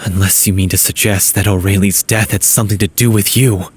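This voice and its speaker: A man voicing a solemn, resolute stage performer in a video game, speaking gravely and earnestly. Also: fairly low pitch, fairly even intonation, fairly quick. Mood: solemn, determined.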